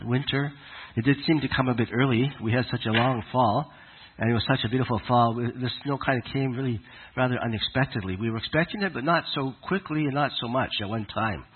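A man with a cold and a raspy voice, giving a conversational, halting sermon to his congregation. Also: mid-range voice, narrow, tinny audio. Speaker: congregation leader. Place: congregation hall in Alberta.

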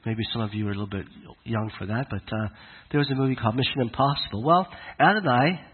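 A man with a cold and a raspy voice, giving a conversational, halting sermon to his congregation. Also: mid-range voice, narrow, tinny audio. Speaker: congregation leader. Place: congregation hall in Alberta.

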